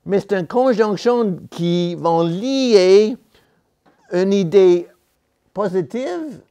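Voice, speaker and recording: A man preaching a sermon in a church, a non-native speaker of the language, talking slowly and deliberately with pauses and self-corrections. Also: sits high, expressive, warm tone, clear, resonant tone, lo-fi sound. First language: not French.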